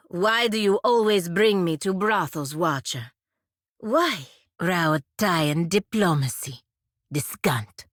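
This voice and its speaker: A woman's voice: deep voice, no room sound. A woman playing a stern, proud feathered warrior in a fantasy video game, speaking formally and measuredly with dry sarcasm. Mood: exasperated. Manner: formal, measured, dryly sardonic, avoids contractions. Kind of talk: scripted video game companion voice lines.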